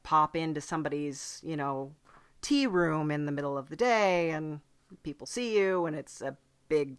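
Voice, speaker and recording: A woman's voice: lowish voice, smooth, natural voice. A woman voicing a chatty demon from hell in an improvised comedy podcast, hesitant and politely earnest as she rambles. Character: chatty demon from hell who visits the human world. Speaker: podcast host. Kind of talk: improvised in-character comedy interview.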